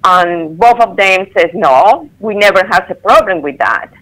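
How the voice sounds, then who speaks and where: A woman, a caller telling her story on a radio show in earnest, halting English over a phone line. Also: mid-range voice, expressive, fairly bright tone.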